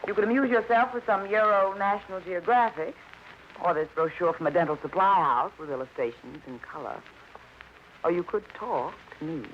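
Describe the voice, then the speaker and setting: A woman's voice: on the low side, normal speed, lightly acted. Arch, mocking middle-aged wife, acted by a woman. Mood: arch, teasing. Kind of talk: 1950s American radio crime drama acting. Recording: dull old radio recording, faint noise.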